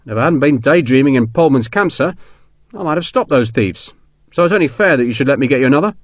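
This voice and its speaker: A man's voice: medium pitch, expressive, fast, no room sound, studio quality, pitched above the performer's own voice, close to an everyday voice. A man voicing a deferential but stubborn army sergeant who talks colloquially, in an audiobook. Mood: earnest. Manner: colloquial, punctuated with deferential sirs. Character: rough-spoken army sergeant, deferential, stubborn, slyly innocent. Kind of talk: audiobook character dialogue.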